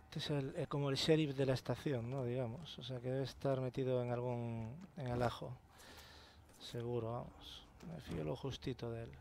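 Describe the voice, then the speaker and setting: Gravelly Spaniard commenting on a game. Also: male voice, medium pitch, steady tone, moderate pace, a little mellow, slight background noise. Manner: chatty, exclamatory. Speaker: gameplay commentator. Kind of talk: casual gameplay commentary.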